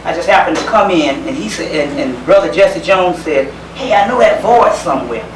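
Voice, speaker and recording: A man with a Southern US accent gives an informal talk in a high register for a man. He is conversational and folksy, often pausing and circling back over a phrase. The recording is roomy and distant from the microphone. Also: animated. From South Carolina, United States. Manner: folksy, conversational, hesitant pauses with repeated phrases. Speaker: lecturer. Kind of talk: informal community lecture. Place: indoor lecture gathering. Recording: roomy, distant microphone, faint background noise.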